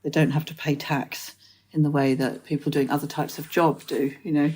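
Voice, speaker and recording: A woman's voice: low-pitched, little pitch movement, moderate pace, darkish tone, breathy edge. An Englishwoman hosting a poetry podcast, musing aloud in long, thoughtful sentences. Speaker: podcast host. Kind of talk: conversational interview.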